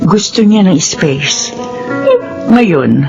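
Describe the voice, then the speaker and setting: A woman's voice: lowish voice, extremely slow, vocal fry, exaggerated voice. Woman acting a fretful, swooping Filipina mother. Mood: concerned, advising. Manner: expressive, fretful. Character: concerned, exasperated middle-aged mother, fretful and advising. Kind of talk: melodramatic drama acting in Tagalog. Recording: dull lo-fi sound with loud music.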